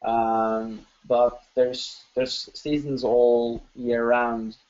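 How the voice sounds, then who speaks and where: A man's voice: mid-range voice, little pitch movement, very slow, a little mellow. A man hosting a live webinar Q&A, chatty and enthusiastic, with lots of ums and restarts. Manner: chatty, filler-heavy, enthusiastic. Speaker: webinar host. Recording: dull lo-fi webinar audio.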